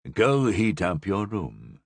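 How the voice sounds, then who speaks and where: A commanding church cardinal, as the narrator voices him, grave and authoritative. He speaks slowly and dips low on weighty words. A dry, close storybook read. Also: very animated, gravelly, breathy edge, no room sound, exaggerated voice.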